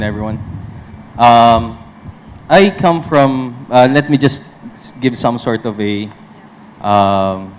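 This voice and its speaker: A man's voice: lowish voice, a little hiss or hum, low-quality recording. A man from the Philippines speaking as a panelist at a conference, hesitant and full of fillers as he starts. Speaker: panelist, innovation lab representative.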